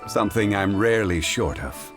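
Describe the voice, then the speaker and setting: An actor playing a composed, refined, scholarly gentleman in a video game, speaking in a measured, courteous way with a husky voice. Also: on the low side, lively intonation, over loud music. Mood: calm, courteous.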